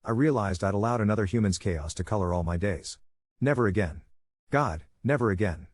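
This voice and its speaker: Fast-reading low-voiced male narrator. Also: no echo, studio quality. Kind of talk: scripted narration of an online story.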